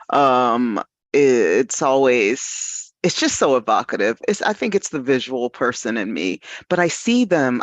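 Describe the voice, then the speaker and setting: A man talking casually in a literary discussion, animated and searching for his phrasing as he goes. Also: fairly high pitch, no echo. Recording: dull lo-fi audio.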